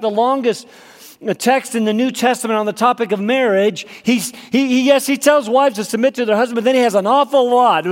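A male pastor preaching a sermon, slightly nasal from a cold, emphatic and rising in pitch on his key points. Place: church sanctuary. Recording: long hall reverb.

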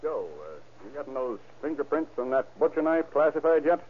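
An actor playing a shrewd, commanding police chief in an old-time radio crime drama. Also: male voice, fairly high pitch, lively intonation, moderate pace, faint music behind. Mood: brisk, commanding. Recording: narrow, tinny vintage radio recording.

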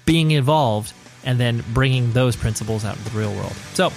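A man's voice: medium pitch, moderate pace, gravelly, music in the background. A man in his thirties hosting a podcast, chatting casually in an informal, rambling, slangy way. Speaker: podcast host.

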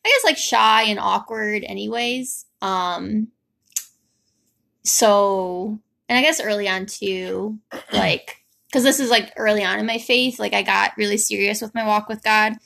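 Young woman with a creaky, casual voice. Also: mid-range voice, steady tone, unhurried, sharp tone. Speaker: host telling the couple's story. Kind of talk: casual chat.

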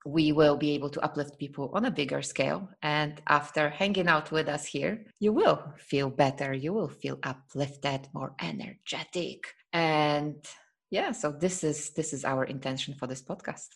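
A woman's voice: low-pitched, normal speed, gravelly. A woman from Poland hosting a podcast, talking in an earnest, reflective way and often breaking into laughter. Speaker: podcast host. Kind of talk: casual podcast chat.

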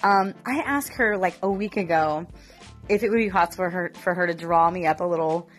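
A woman's voice: lowish voice, brisk, faint music behind. A woman getting over a cough, talking casually and candidly to her viewers, with lots of fillers. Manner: chatty, candid, with frequent fillers. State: recovering from a cough. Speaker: vlogger. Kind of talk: casual chat to camera.

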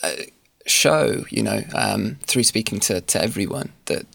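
A man hosting a podcast interview, asking questions in a halting way with many ums and restarts. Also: lowish voice, big pitch swings, creaky, a bit bright, no room sound. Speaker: podcast host.

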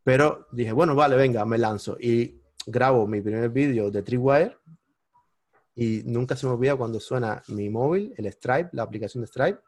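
A man with a Cuban accent, a podcast guest telling his story in lively casual conversation and bursting into high exclamations at the big moments. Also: normal speed, no echo. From Cuba. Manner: animated, jumps into high-pitched exclamations when retelling moments.

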